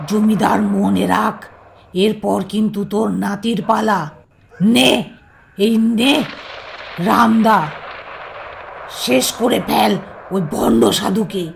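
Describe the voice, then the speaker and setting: A woman playing a creaky, slow-spoken wicked sorceress. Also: mid-range voice, steady tone, slight background noise. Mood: taunting, menacing. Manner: slow, goading, threatening commands. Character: wicked female tantric sorceress, scheming, taunting and commanding. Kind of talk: audio horror drama acting.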